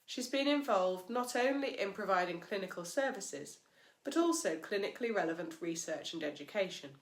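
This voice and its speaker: A woman reads scripted narration in a measured, formal manner. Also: medium pitch. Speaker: host and narrator, physiotherapist. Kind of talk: scripted narration, read aloud. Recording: echoey, roomy sound.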